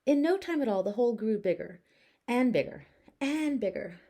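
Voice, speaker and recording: A woman teacher narrating a children's storybook aloud with expressive, deliberate phrasing. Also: fairly high pitch.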